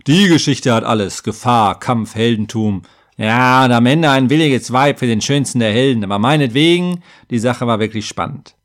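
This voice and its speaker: A man's voice: medium pitch, normal speed, lightly acted. Man playing a cocky, blunt fantasy warrior. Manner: offhand, blunt storytelling. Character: cocky muscular elite warrior, womanizer, blunt and casual.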